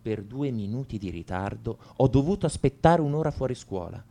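Un attore che interpreta un liceale tormentato e amareggiato, in un monologo confessionale di radiodramma, con enfasi riflessiva. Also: male voice, medium pitch, expressive, a little fast, mellow. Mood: wry, bitter. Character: brooding male high school student confessing to violence. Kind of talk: radio drama monologue, first-person narration.

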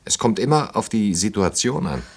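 An actor playing a reserved, awkward middle-aged department head in a naturalistic radio play, halting and dryly formal. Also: male voice, on the low side, lively intonation. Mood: dry, guarded. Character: reserved, awkward male department head courting a young woman.

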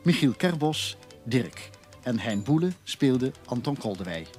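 A man works as a radio announcer reading scripted credits. He is formal and measured, with a medium-pitched, lively voice over faint music.

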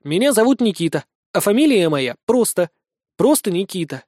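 A man voicing a polite, guileless young wanderer with a friendly deadpan manner in a fantasy audiobook. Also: sits high, moderate pace, dry recording, clean studio sound. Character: polite, naive, oddly earnest young male wanderer.

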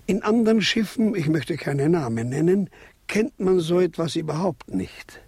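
An actor playing a proud, fussy ship's captain who gives hesitant, formal, self-satisfied answers in a radio play. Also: male voice, fairly high pitch, lively intonation, moderate pace, a little mellow, husky, dry recording. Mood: smugly proud. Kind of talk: radio play acting, mock interview.

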